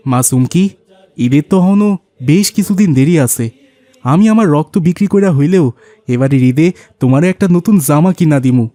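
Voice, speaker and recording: A man's voice: fairly high pitch, animated, brisk, dark tone, dry recording, lightly acted. A man playing a poor, weary, humble rickshaw-puller father in a cartoon, earnest and plaintive, with a colloquial Bangladeshi accent. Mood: tender. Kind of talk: cartoon voice acting.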